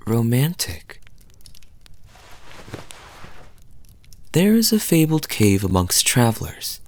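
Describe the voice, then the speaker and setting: A man playing a charming, teasing young fantasy knight in an intimate audio roleplay, telling stories to the listener in a soft, breathy voice. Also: fairly low pitch, heavily acted. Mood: playful storytelling. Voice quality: soft, husky, breathy at times. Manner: smooth-talking, teasing, unhurried storyteller with dramatic pauses.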